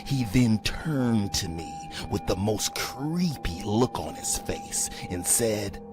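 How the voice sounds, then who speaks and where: Slow, low-voiced man narrating horror over music. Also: very animated. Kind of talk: scripted first-person horror story narration. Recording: prominent background music under voice.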